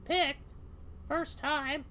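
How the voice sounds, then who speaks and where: A man voicing an anxious, easily horrified young woman in a story game, in a squeaky falsetto. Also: steady tone, extremely slow, bright, forceful tone, a little hiss or hum, exaggerated voice. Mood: uneasy, questioning.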